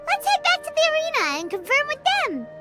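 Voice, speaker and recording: Game mascot fairy: childlike, eager, very high and wildly animated. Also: female voice, fairly quick, music in the background, exaggerated voice. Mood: excited. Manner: refers to self by name, chirpy, eager. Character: small excitable floating fairy companion, childlike girl. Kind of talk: video game character acting.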